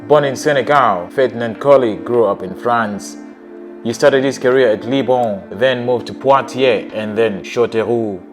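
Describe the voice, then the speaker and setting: A man narrating a scripted countdown of football players in an even, list-like reading. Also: medium pitch, a little slow, music in the background.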